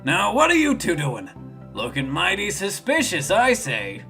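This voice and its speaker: Man acting a cartoon animal sheriff, slow Western drawl, gruff, blustering, suspicious, music behind. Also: sits high, wildly swooping pitch, bright, forceful tone, pitched above the performer's own voice, full character voice.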